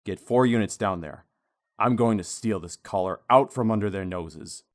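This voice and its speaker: A man's voice: lowish voice, moderate pace, close to an everyday voice. A man playing a smug, scheming lawman in a comic book audio drama, assured and conspiratorial. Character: scheming, smug male law officer hunting a fugitive.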